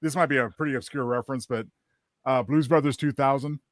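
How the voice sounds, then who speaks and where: Male panelist: mid-pitched, fast, self-deprecating casual talk. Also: fairly even intonation, no room sound, studio quality. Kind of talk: casual chat.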